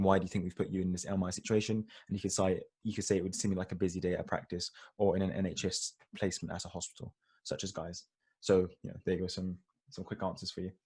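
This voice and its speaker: A young man from Egypt talks students through interview tips in a loose, informal way, full of "like" and "you know". His voice sits fairly low. He speaks into a computer mic on a video call. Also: darkish tone. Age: young adult. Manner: chatty and informal, many fillers like "like" and "you know". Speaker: dental student giving an interview webinar. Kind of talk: casual online webinar talk.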